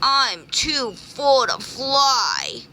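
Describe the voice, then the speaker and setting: A woman voicing a greedy male bee in a children's story, childish and excitable, exclaiming and wailing. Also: high-pitched, extremely slow, slight background noise, voice pushed up, heavily acted. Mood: frightened, wailing.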